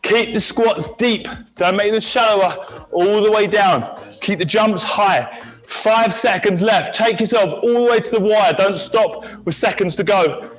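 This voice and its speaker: A man leads a high-intensity workout as its instructor. His voice sits a little high for a man. He mixes encouragement with countdowns and clipped commands, with music playing softly behind him. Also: a little slow, poor audio. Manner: encouraging, counting down, barking short cues. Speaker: fitness instructor. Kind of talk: leading a live HIIT workout class.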